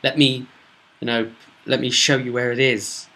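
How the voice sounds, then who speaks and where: A man's voice: mid-range voice, flat delivery, moderate pace, sharp tone. A man voicing a captive in a tabletop fantasy game who insistently pleads his innocence. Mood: pleading.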